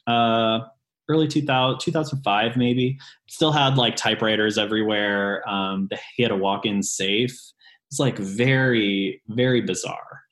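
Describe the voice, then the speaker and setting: Chatty man in his late twenties, low voice. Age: late 20s. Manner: chatty, with frequent ums and lively upward pitch jumps. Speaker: ghost tour guide. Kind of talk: casual interview chat.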